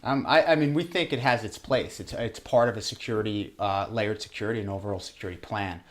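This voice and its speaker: A man with a slightly low voice explains as an interview guest. He talks like a trainer, in a halting way, often repeating words as he builds his point.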